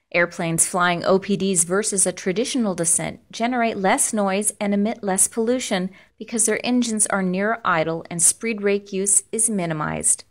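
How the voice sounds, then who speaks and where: A woman with an American accent reading scripted instructional narration in a measured, formal, explanatory way. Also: medium pitch.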